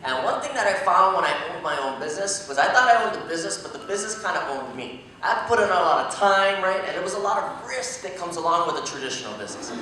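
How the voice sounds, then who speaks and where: A man speaking quickly to a crowd, his voice bright and forward, quizzing the audience as he goes. He sounds far off in a large, echoing hall. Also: high-pitched, lively intonation, a little hiss or hum. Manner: fast, asking the audience questions. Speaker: speaker at a business event. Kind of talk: motivational testimonial speech. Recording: echoey room from far off.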